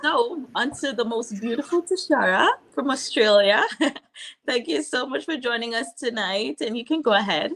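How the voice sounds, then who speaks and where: A woman's voice: a little high, animated, moderate pace, fairly bright tone. A woman hosting an online panel, thanking guests and handing over to the next speaker. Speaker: host.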